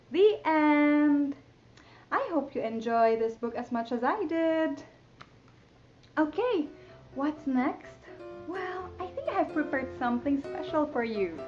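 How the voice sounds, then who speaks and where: Very high-voiced woman reading to toddlers, unhurried. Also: lo-fi sound. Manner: playful, expressive, addressing young children; imitates animal sounds. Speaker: children's storytime host. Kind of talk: sing-song picture book reading for toddlers.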